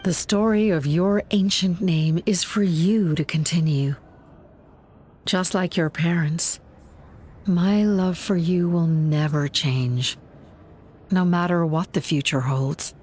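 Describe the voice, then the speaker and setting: Slow, gentle motherly guardian spirit. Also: lowish voice, slight background noise. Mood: tender, serene. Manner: tender, measured, reassuring. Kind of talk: dramatic video game acting.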